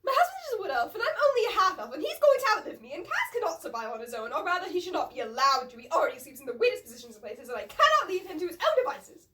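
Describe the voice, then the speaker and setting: A woman plays a chatty, naive half-elf man in a comic fantasy tale. The voice is extremely high and whiny, with lively swings, and sits back from the mic. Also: racing, few pauses, far from the mic, heavily acted. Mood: pleading. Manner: chatty, whining, naively earnest. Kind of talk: comic fantasy fan fiction reading.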